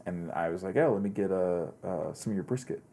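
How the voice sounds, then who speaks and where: A man's voice: sits low, lively intonation, a little fast, warm tone. A young man from Texas, with only a faint Texan accent, chatting as a podcast guest and telling stories with many fillers and restarts. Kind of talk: casual chat.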